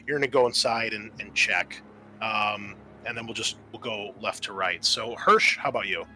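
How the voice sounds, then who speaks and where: Bright-voiced man running a tabletop game. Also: fairly low pitch, a little hiss or hum, rough recording. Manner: halting, pausing while describing scenes. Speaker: game master.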